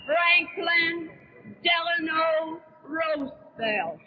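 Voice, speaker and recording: A woman's voice: very high voice, expressive, highs cut off, noisy background. A woman giving a formal, declamatory seconding speech as a convention delegate on a 1930s radio broadcast. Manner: deliberate, declamatory. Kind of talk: formal political convention oratory. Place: large convention hall.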